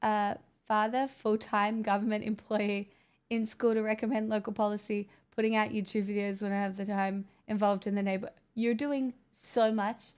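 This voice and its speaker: A woman's voice: mid-range voice, flat delivery, normal speed, a little mellow, clear, resonant tone. A young woman hosting a casual livestream, chatting, joking and swearing as she answers messages from chat. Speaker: livestream host reading chat.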